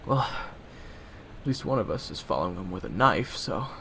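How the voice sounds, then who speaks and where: A young man in an audio roleplay, talking in low, sardonic murmured asides. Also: expressive, normal speed, vocal fry, background noise. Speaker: roleplay voice actor playing assassin roommate.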